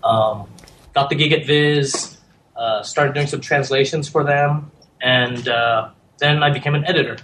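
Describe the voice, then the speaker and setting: Relaxed American man, faint room hum. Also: mid-range voice, measured pace, rough recording. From United States. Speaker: editor-in-chief guest. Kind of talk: casual interview.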